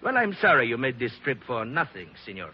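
A man's voice: mid-range voice, very animated, brisk, breathy edge. A man playing a suave, vain, menacing Sicilian bandit chief with an Italian accent and courtly, boastful manner in a 1940s radio drama. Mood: suave, mocking. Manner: courtly, mock-polite, boastful. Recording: dull, noisy old radio recording.

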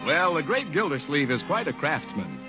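A man working as a 1950s radio announcer and sponsor pitchman. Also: very animated, moderate pace, music in the background. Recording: muffled old radio broadcast.

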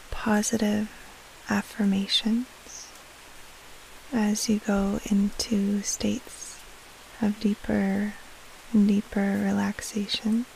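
A woman guiding a sleep meditation in a soft, breathy half-whisper, soothing, with long pauses between short phrases. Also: medium pitch, rough recording. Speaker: meditation guide, podcast host. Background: soft rain-like hiss.

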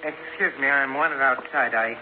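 A man playing a smooth, placating Hollywood agent in a 1950s radio drama. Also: mid-range voice, normal speed, lightly acted. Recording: tinny, noisy 1950s radio recording.

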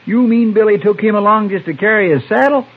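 Earnest folksy western sidekick deputy, american, deferential, highish voice, tinny old radio drama sound. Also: male voice, fairly quick, breathy edge. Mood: earnest, puzzled.

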